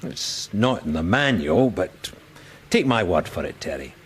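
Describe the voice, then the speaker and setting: A man's voice: low voice, big pitch swings, measured pace, slight background noise. A man playing a blunt, fatherly police sergeant in a radio crime drama, matey and teasing. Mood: matey, reassuring.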